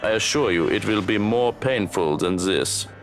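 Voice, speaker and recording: A man's voice: fairly low pitch, moderate pace, soft background music, voice pushed down, close to an everyday voice. A man voicing a menacing, cruelly amused henchman in a visual novel, taunting with mock politeness. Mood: cold threat. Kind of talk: visual novel villain dialogue, read aloud.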